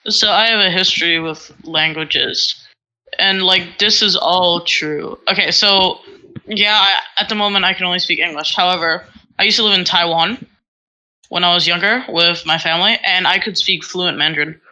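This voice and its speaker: Bright-voiced teen girl rambling on. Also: on the low side, moderate pace, poor audio. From Singapore. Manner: rambling, self-mocking storytelling with many fillers. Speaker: podcast co-host. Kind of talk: casual chat.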